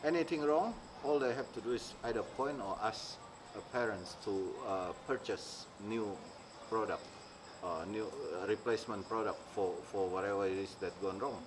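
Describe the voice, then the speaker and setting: A middle-aged man from Indonesia, speaking haltingly in an interview and often restarting as he searches for words. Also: fairly low pitch. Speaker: interviewee, maker. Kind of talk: casual interview talk. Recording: clear background noise, insects.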